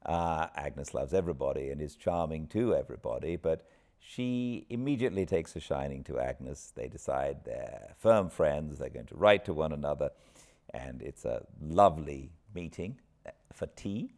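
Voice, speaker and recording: A British man hosting an informal book recap with an expressive storyteller's delivery. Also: extremely low pitch, normal speed. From Britain. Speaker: host, audiobook narrator.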